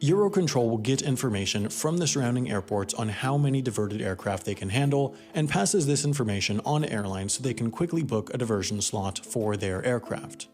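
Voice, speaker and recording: A man's voice: medium pitch, animated, brisk, few pauses, darkish tone. A man reading a scripted explainer narration in an articulate, explanatory manner. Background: background music.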